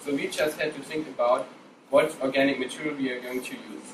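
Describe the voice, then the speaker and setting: A young man from Germany pitches an idea in an upbeat manner during a student presentation. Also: fairly even intonation, brisk. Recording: echoey room, distant mic, noise.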